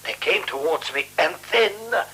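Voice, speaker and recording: A male actor in a radio drama playing a beaten, frightened man, telling his tale in a halting, brooding, intense way. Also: a little high, lively intonation, bright, forceful tone, slightly rough, exaggerated voice. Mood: haunted recollection. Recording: 1970s radio recording with faint hum.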